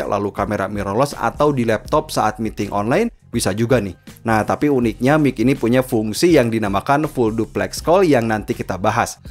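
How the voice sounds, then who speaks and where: Male Indonesian gadget reviewer, scripted narration, mid-pitched voice over music.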